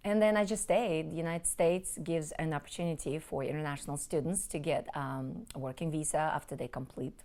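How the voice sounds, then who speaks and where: A woman in her forties from Crimea whose first language is Russian, answering interview questions earnestly with frequent pauses. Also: deep voice, steady tone, darkish tone. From Crimea, Ukraine. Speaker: interviewee, relief group leader. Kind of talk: conversational interview.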